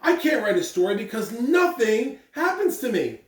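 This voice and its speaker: A woman's voice: on the high side, very animated, normal speed, pitched above the performer's own voice. A woman voicing a frustrated, plaintive young schoolboy from a storybook. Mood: glum. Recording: echoey room, distant mic.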